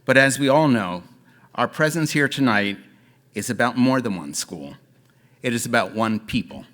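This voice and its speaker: A middle-aged man giving a formal, steady prepared speech to guests at a gala. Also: mid-range voice, normal speed. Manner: formal, steady, dignified delivery.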